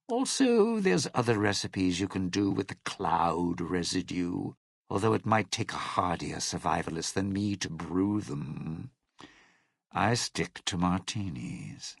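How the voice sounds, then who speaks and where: A slick lounge entertainer turned survivor in a video game, wry and distrustful. His delivery swings widely in pitch and lingers in an unhurried, showy drawl. The recording is close and dry. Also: creaky, husky, no echo, heavily acted. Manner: wry, hedging, theatrical showman's drawl. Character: wary, smooth-talking, sarcastic old-world lounge entertainer, self-preserving survivor. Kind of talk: video game dialogue acting.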